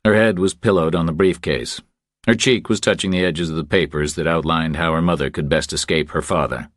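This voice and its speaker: A man's voice: extremely low pitch, fairly even intonation, fairly quick, dry recording, studio quality. A man reading a novel aloud as a scripted third-person audiobook narration.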